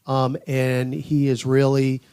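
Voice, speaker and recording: A man's voice: medium pitch, completely flat delivery, slow, mellow, clean tone. A man, a radio play-by-play announcer, chatting casually on a podcast in a hesitant way, full of "uh" and "you know". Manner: hesitant, filler-heavy phrasing.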